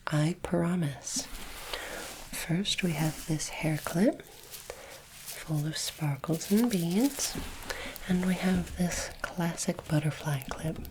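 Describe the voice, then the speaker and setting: A woman doing a soft-spoken, whispered ASMR roleplay as a polite, formal alien interviewer, with deliberate phrasing. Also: lowish voice, background noise.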